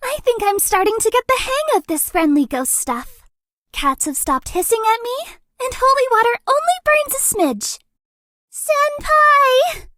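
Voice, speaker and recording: A woman's voice: very high-pitched, a bit bright, no echo, studio quality, full character voice. A woman voicing a sweet, awkward young female ghost for a video game, hesitant, gushing and apologetic. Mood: upbeat. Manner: hesitant, bubbly, gushing, self-deprecating. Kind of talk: cute video game character acting.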